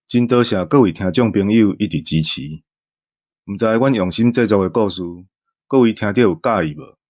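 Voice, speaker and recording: Low-pitched Taiwanese man co-hosting. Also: normal speed, dry recording, clean studio sound. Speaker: co-host. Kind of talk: bantering show talk.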